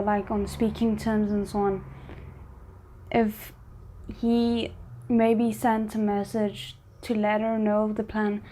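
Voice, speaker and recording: A woman's voice: medium pitch, mellow. A woman giving casual reaction commentary as a host, musing and thinking aloud. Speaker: host of a drama reaction channel.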